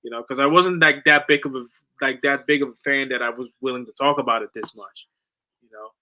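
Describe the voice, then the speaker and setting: A man in his late twenties talks sports in a casual, slangy way, dropping swear words and "bro" as he goes. His voice sits at a middle pitch with a bright, forward edge. He comes from the Netherlands and moved to America at ten. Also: fairly quick, rough recording. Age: late 20s. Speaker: sports watch-along streamer.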